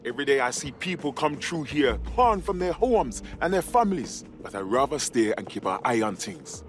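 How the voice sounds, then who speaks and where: A man playing a weary but helpful inside informant, low-key, in a video game. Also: very animated, normal speed, soft background music, natural voice. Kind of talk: game cutscene dialogue.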